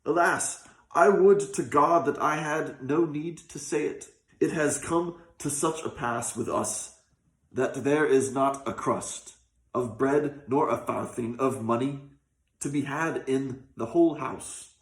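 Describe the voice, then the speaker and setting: A man's voice: medium pitch, takes it slowly, close to an everyday voice. A man voicing a poor, humble peasant who pleads in archaic, deferential speech for a folk tale. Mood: pleading, humble. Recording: roomy, echoing sound.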